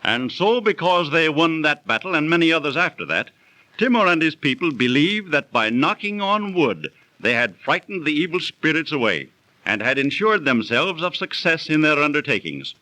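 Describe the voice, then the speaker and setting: A know-it-all sceptic telling a tale in a 1930s radio play. His bright voice swings animatedly in pitch, assured and didactic, recorded dry and close. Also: very animated, moderate pace, no echo, poor audio. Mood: explaining. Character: know-it-all storyteller, sceptical friend of a businessman. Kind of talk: 1930s radio acting, storytelling.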